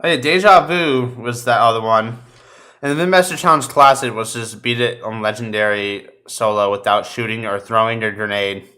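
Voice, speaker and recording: A man chatting casually about video games as a podcast host, telling animated stories with plenty of swearing. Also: on the low side, moderate pace. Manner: profane, animated storytelling.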